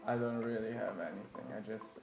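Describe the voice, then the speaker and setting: A young man from Italy speaks with a light Italian accent in a relaxed, easygoing way, laughing now and then as a guest in a casual chat. His voice is mid-pitched with a gravelly, creaky edge. The room sounds echoey, with music clearly playing behind him. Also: fast talker. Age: young adult. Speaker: doctor and DJ guest.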